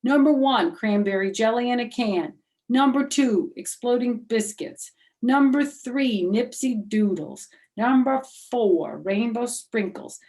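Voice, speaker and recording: Woman as a bossy, high-pitched tattletale schoolgirl. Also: slow, a little mellow, poor audio. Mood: scornful. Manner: emphatic, scolding. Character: bossy, indignant, self-righteous little schoolgirl tattletale.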